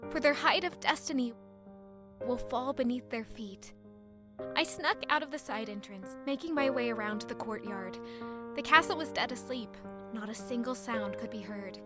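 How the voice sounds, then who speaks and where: A woman's voice: on the high side, moderate pace, natural voice. A woman playing a romantic, curious young woman in an audio drama, narrating and speaking with a playful sense of wonder. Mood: hushed, dreamy. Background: faint music.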